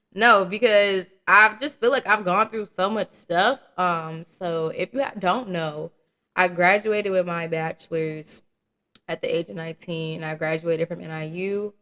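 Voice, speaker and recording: A woman's voice: fairly low pitch, fairly even intonation, measured pace, a bit bright. Chatty young woman hosting a podcast. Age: young adult. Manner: candid and chatty, with slang and swearing; laughs at her own jokes. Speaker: podcast host. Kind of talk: casual solo podcast chat.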